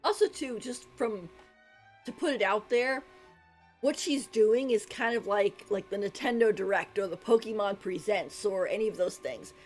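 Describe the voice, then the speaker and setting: A woman's voice: medium pitch, moderate pace, rough recording. A woman live streamer giving casual, amused commentary on a game in a giggly manner. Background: faint game music.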